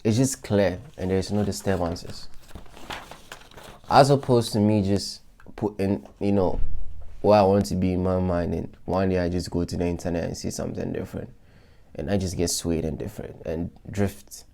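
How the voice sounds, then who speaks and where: A man's voice: low voice, steady tone, moderate pace, creaky, mellow, slight background noise. A man vlogging to his followers in a casual, reflective way, talking through his goals with loose restarts and many fillers. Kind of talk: casual motivational talk to followers.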